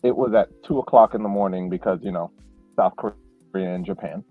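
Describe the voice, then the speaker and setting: Male podcast co-host, low-pitched, reminiscing with halting fillers; tinny phone-quality sound. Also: dry recording, faint music behind. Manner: reflective storyteller, frequent fillers and restarts. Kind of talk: casual podcast chat.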